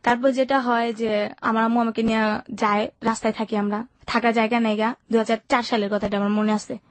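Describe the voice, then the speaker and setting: A woman's voice: on the high side, little pitch movement, fairly quick, dry recording. A young woman with a Bangladeshi Bengali accent, telling her personal life story in colloquial, run-on sentences as an interview guest. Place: radio studio.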